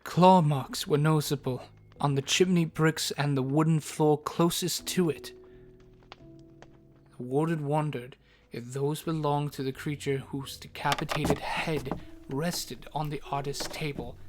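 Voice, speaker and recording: A narrator reading scripted fantasy audio drama narration in a deliberate, descriptive storybook manner. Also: medium pitch, fairly even intonation, a little mellow, soft background music.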